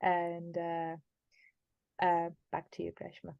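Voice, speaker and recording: A woman youth coordinator speaking on a video call, halting with many fillers. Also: lowish voice, monotone, gravelly, clean tone, muffled recording. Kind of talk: online group discussion.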